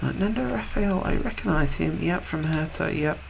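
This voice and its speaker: A man hosting a video game let's-play in a casual, rambling way, slightly husky from a cold. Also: a little high, normal speed, slight background noise, low-quality recording. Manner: rambling, offhand, chatty.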